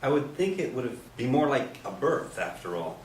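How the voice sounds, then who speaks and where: An actor in a staged play reading playing a mild, bashful priest, polite and hesitant, gently eager to help. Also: male voice, medium pitch, big pitch swings, moderate pace, lightly acted. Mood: gently helpful. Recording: echoey stage, distant mic, noisy room.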